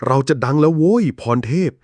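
A man's voice: on the high side, lively intonation, fairly quick, no room sound. Man voicing a jumpy cowardly ghost hunter. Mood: griping. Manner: cowardly, loud, complaining. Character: jumpy, greedy elder ghost-hunting cameraman. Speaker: cartoon voice actor.

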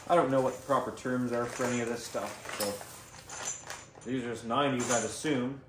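A man's voice: mid-range voice, fairly even intonation, moderate pace. A man giving an informal, unscripted show-and-tell as a video host, rambling and thinking aloud as he goes. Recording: echoey room, faint background noise.

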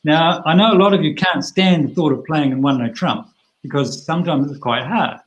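A middle-aged man teaching a bridge hand over a video call, plain-spoken and chatty with lively asides. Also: a little high, moderate pace, highs cut off.

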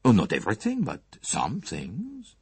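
Man voicing courteous, shrewd foreign detective, swooping pitch. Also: wildly swooping pitch, vocal fry, breathy edge, no echo, exaggerated voice. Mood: gently reproving. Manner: formal, measured, precise phrasing.

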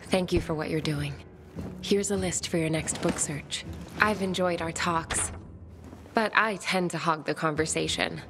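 A woman's voice: fairly low pitch, a little slow, music in the background. An actress playing a bookish, romantic and courteous woman survivor in a video game, speaking formally and thoughtfully. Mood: warm, curious. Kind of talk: video game cutscene dialogue.

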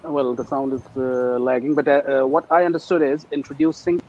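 A man whose first language is Urdu, an ESL teacher speaking over a video call in a halting, hesitant way. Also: medium pitch, warm tone, lo-fi sound, a little hiss or hum. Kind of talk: panel discussion. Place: teaching abroad.

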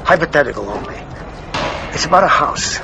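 Gravelly, probing police lieutenant. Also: male voice, fairly high pitch, animated, brisk, muffled recording, background noise. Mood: slyly probing. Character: probing, courteous police lieutenant, slyly indirect. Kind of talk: radio drama acting.

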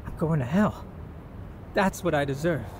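A man's voice: a little high, animated, moderate pace, noisy background, close to an everyday voice. An actor playing an anguished, guilt-ridden man, pleading emotionally in a radio drama. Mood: despairing.

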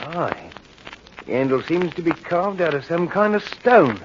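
Radio horror warden: working-class Brit, high-voiced, volatile, swinging intonation, on a muffled, noisy old recording. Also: male voice, lively intonation, a little slow. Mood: entranced. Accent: British.

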